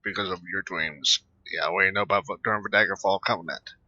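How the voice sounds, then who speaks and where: A man giving casual, rambling gameplay commentary with halting delivery. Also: deep voice, sharp tone, muffled recording. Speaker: gaming video creator.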